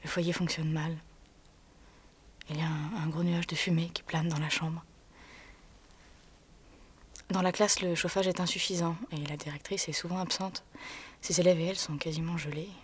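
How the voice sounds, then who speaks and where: An actress delivering a theatrical monologue in a hushed voice that often drops to a whisper, pausing as she tells a story. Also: low-pitched, steady tone, husky.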